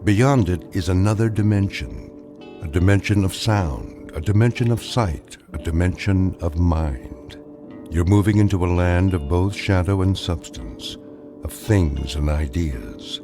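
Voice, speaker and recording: An actor playing a solemn, mysterious radio drama narrator with a measured, portentous delivery. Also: male voice, low-pitched, over music. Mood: ominous, solemn. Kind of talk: scripted radio drama introduction.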